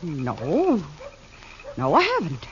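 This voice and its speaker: An actress playing a guarded, frightened frontier mother in a 1950s western radio drama, halting and restrained. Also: low-pitched, wildly swooping pitch, heavily acted. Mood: strained calm. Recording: dull, noisy old radio recording.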